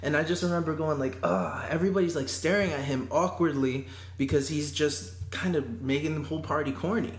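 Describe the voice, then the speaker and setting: A man's voice: on the high side, brisk. Chatty man host, echoey room. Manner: chatty storyteller, animated when quoting others. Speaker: podcast host. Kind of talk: casual interview chat. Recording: roomy echo with faint noise.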